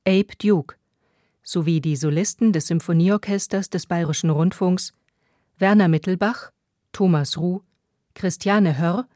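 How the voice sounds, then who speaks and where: Woman announcer reading credits, lowish soft-toned voice, formal, dry studio. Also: no echo.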